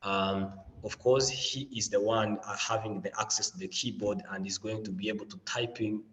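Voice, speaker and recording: A man's voice: fairly low pitch, steady tone, normal speed, fairly bright tone, big-room sound, slight background noise. A man with a mild flu presenting a learning module on a video call, upbeat, with many fillers and repeated phrases. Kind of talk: online teaching session.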